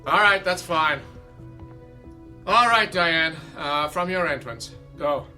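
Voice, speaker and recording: An actor in a radio drama playing a brisk male theater director who gives instructions with authority. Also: a little high, pushed and bright, faint music behind, heavily acted. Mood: brisk, impatient.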